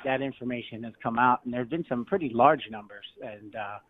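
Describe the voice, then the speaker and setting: Hesitant male caller on tinny phone line. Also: medium pitch. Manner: hesitant, measured questioning. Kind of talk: asking questions on talk radio. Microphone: telephone.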